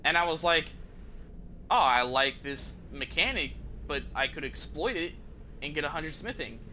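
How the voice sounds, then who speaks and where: Very bright-voiced man, casual game streamer. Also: a little high, expressive, moderate pace, slight background noise. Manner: casual, profane, conversational. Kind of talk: casual gameplay commentary, rambling.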